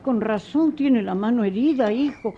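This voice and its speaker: An actress playing a kind, devout, motherly schoolteacher in a radio drama, speaking with measured, formal compassion. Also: fairly high pitch, muffled recording, a little hiss or hum, lightly acted. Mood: compassionate, solemn. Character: kind, devout, motherly schoolteacher giving gentle moral counsel.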